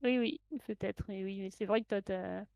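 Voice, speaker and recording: A woman's voice: medium pitch, fairly even intonation, normal speed, creaky, a little mellow, dry recording. A woman with a French accent, co-hosting a casual stream, laughing and protesting teasing. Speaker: co-host. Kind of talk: casual live stream chat.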